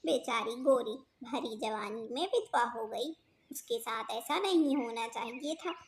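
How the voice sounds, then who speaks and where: A woman voicing a sympathetic, fussing auntie bird in a cartoon, earnest and expressive. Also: on the high side, measured pace. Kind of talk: Hindi cartoon voice acting.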